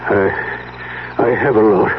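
An actor playing a proud, regretful old magician who is dying, speaking in a halting, laboured way in a 1970s radio drama. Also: male voice, lowish voice. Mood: weak, regretful. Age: older. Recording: noisy, muffled 1970s radio broadcast.

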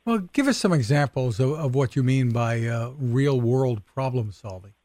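A man's voice: mid-range voice, normal speed, no echo. Male radio host, dry close sound. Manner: brief, steady questions. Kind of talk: tech radio interview.

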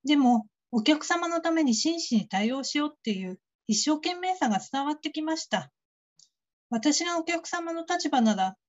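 A woman playing a strict, candid call-centre colleague in a radio drama, speaking formally and matter-of-factly on slightly dull audio. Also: sits high.

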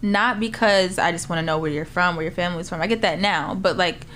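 Earnest woman guest, slightly low voice. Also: brisk, fairly bright tone, slight background noise. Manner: earnest, emphatic affirmations. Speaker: podcast guest. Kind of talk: casual chat.

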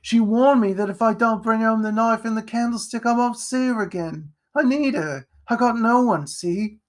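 Storybook street boy, acted by a man: high voice, frightened, remorseful, uneducated speech. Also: steady tone, slow, fairly bright tone. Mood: distraught. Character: frightened, pleading young boy thief, poor and remorseful. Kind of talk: audiobook character voice.

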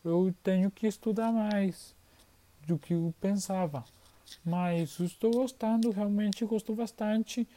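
A performer putting on a bright young boy from Argentina who is still learning Portuguese. He speaks slowly and haltingly, in a mellow tone, and repeats words while he hunts for the next one. His voice sits well above the performer's usual pitch. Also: close to an everyday voice. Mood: eager.

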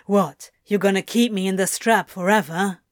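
A woman's voice: mid-range voice, expressive, measured pace, no room sound, natural voice. Woman as male demon, sardonic, dry, muttering, protective, storybook character. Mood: dry, scoffing.

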